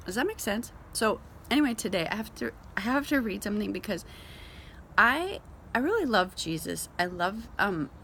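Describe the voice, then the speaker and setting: A woman's voice: medium pitch, big pitch swings, moderate pace, vocal fry, sharp tone, a little hiss or hum. A woman giving an informal devotional talk in a conversational, emphatic way, as host of a Bible reading show. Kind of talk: casual devotional talk with scripture read aloud.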